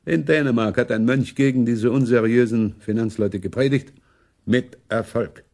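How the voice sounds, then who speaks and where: A man's voice: medium pitch, fairly even intonation, moderate pace, a little mellow, lightly acted. A man acting a money-minded, imperious German prince in a radio play, sardonic and complaining in manner. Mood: aggrieved.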